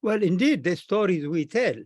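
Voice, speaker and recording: A man speaking earnestly and deliberately as a panelist in a reflective discussion, repeating phrases for emphasis. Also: high voice, lively intonation, a little mellow, no room sound, studio quality. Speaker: panelist, scholar.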